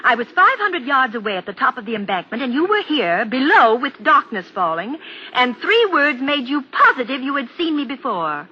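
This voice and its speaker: A woman's voice: sits high, big pitch swings, moderate pace, breathy edge, heavily acted. An actress playing a rational, insistent woman in an old radio drama, articulate, persuasive and dramatic in her delivery. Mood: firm, persuasive. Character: rational, insistent woman reasoning with a frightened man. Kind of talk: 1940s radio drama acting and narration. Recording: dull, noisy old radio broadcast.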